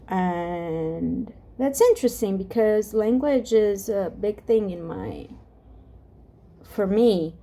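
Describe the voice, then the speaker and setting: Slow, soft-spoken Brazilian woman. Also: mid-range voice. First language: Portuguese. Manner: halting, many restarts and fillers, drifts off topic. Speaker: ASMR vlogger. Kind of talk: soft-spoken rambling vlog.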